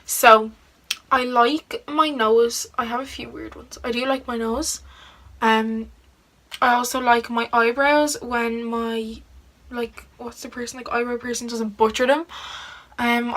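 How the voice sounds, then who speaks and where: Bright-voiced teenage girl vlogger. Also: fairly high pitch. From Ireland. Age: teens. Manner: chatty, giggly, lots of "like" fillers. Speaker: beauty vlogger. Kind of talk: casual get-ready-with-me chat.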